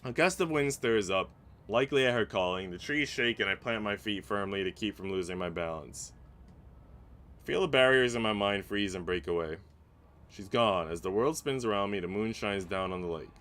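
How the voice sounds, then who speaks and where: A man reading a visual novel aloud as a streamer, in an expressive, dramatic narration that drops hushed and rises with emphasis. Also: on the low side, normal speed. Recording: faint game music behind. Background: game music.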